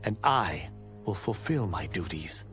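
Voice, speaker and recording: An actor voicing a proud Shaolin monk warrior, narrating earnestly and with self-assurance for a video game. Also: male voice, low-pitched, lively intonation, unhurried, a bit bright. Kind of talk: scripted game ending narration. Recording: music behind. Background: music.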